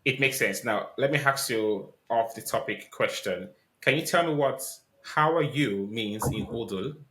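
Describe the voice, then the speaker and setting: A man's voice: medium pitch, a little slow, fairly bright tone, poor audio. A man hosting a podcast interview, asking questions politely with many ums and restarts. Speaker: podcast host.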